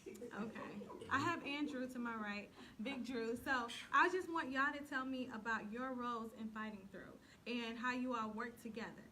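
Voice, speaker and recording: Female talk show host, high register, giggly and informal, clear background noise. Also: normal speed, pushed and bright. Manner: playful, teasing, giggly. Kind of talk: casual, bantering talk show interview. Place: small, crowded room. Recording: noisy small room.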